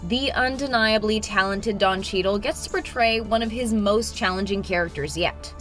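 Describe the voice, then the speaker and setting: A woman's voice: mid-range voice, normal speed, fairly bright tone, soft background music. A woman reading scripted narration for an entertainment countdown video.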